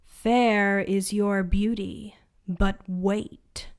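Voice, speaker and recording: A woman voicing a magic mirror in a bedtime storybook reading, reciting slowly and solemnly. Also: mid-range voice, steady tone. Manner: slow, measured recitation.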